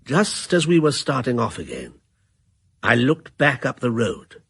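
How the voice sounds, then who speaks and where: Persuasive banker, husky and gravelly, lively pitch, dry sound. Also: male voice, measured pace, dry recording, heavily acted. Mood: narrating. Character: middle-aged male banker, smooth and persuasive. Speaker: narrator and character. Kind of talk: radio drama.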